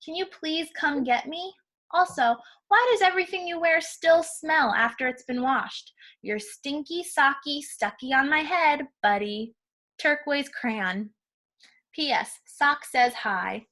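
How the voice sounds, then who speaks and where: Woman as a high, grumbling little crayon. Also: a little slow, a bit bright. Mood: wry complaint. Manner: wry, complaining. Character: grumbling turquoise crayon stuck to a smelly sock.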